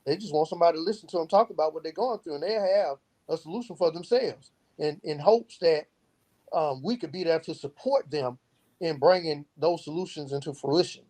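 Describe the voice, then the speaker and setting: A man with a fairly high voice talks as a podcast guest. He explains his points earnestly, often framing them as rhetorical questions. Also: fairly quick, darkish tone, poor audio. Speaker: founder of a youth outreach organization, podcast guest. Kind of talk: earnest explanatory interview talk.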